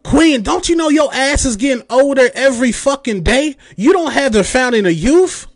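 Late-thirties male podcast host, high-pitched, dry close mic, angry profane monologue. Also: expressive, moderate pace, no echo. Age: 30s. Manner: heated, profane, mocking rant with mimicked quotes. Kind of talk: ranting commentary.

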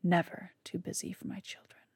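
A woman's voice: fairly quick, dry recording, clean studio sound, close to an everyday voice. A woman whispering as a cold ghostly father. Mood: grave. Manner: hushed, grave warnings. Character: stern ghostly old father, cold and warning.

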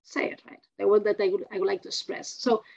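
A woman's voice: medium pitch, fairly even intonation, fast talker, vocal fry, a little mellow. Spanish-accented woman host, dull sound. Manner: encouraging, frequent backchannels. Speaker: podcast host. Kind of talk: interview.

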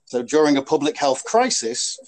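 A man's voice: on the high side, big pitch swings, normal speed. A man with a northwest English accent, a rugby club captain chatting casually as a podcast guest, full of ums and restarts. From Warrington, northwest England. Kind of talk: casual interview chat.

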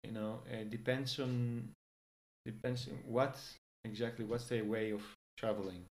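A young Greek man speaking English as a second language, relaxed and hesitant, with frequent fillers and restarts. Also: medium pitch, a little slow. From Greece. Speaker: guest. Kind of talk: casual interview in English.

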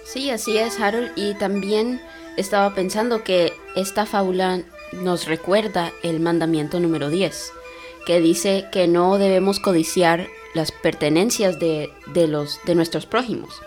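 Low-voiced woman co-host over faint music. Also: unhurried, darkish tone. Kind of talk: moral reflection, introducing a segment.

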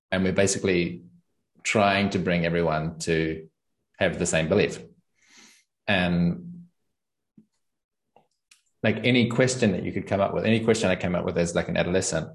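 A young man, talking casually and thoughtfully as an interview guest, often pausing with ums and small laughs. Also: low-pitched. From New Zealand. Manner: thoughtful, searching for words, frequent ums and laughs. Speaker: podcast guest and channel host.

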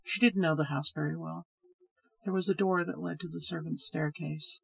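Storybook role: grave, uneasy male storyteller, read by a woman, husky voice, measured telling. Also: sits low, steady tone, fairly bright tone, heavily acted, rough recording. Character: sad, hesitant man telling a ghost story.

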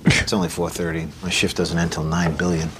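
An actor playing a deadpan male cosmic worker who speaks in a dry, flat way. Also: sits low, brisk, background noise, lightly acted.

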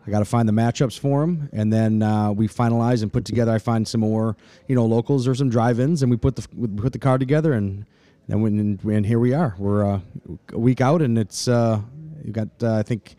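A man's voice: fairly low pitch, fairly quick, hardly any pauses, mellow, no echo. A forty-year-old man from Michigan, a fight matchmaker answering interview questions in a chatty way, full of "you know". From Michigan, USA. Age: 40s. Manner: talkative, many fillers like "you know".

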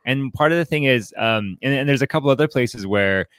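A man co-hosting a podcast in a casual, reflective book discussion, haltingly repeating his words as he thinks. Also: mid-range voice, fairly bright tone, dry recording, clean studio sound. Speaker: podcast co-host.

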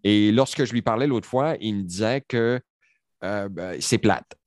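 A man in his forties holds forth on a discussion panel. His voice sits low, and he rambles emphatically, circling back through fillers. The sound is close and dry, with no room around it. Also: a little slow, a little mellow, dry recording. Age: 40s.

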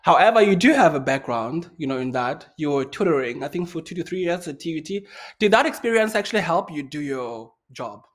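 A man hosting a casual interview, upbeat and chatty, firing off quick questions. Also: on the high side, lively intonation. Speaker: podcast host. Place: China.

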